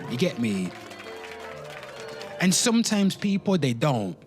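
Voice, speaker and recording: A man's voice: a little high, sing-song, slow pace, a crowd in the background. A man speaking casually and emphatically in an off-the-cuff rant, with slang, swearing and repeated phrases, talking straight to his listeners. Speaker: host of a pop culture commentary show. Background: music.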